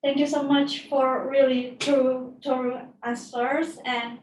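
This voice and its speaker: A woman's voice: high voice, flat delivery, a little slow. A woman hosting a conversational interview for an online webinar, recorded indoors. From Indonesia. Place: indoor office. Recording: roomy, distant mic, faint noise.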